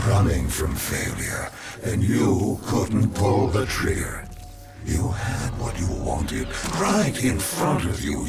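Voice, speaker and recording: A man's voice: low-pitched, vocal fry, heavily acted. A man playing an arrogant, godlike villain in a video game, menacing and grandiose as he interrogates. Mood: taunting. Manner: grandiose, theatrical, commanding. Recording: reverberant voice under loud music.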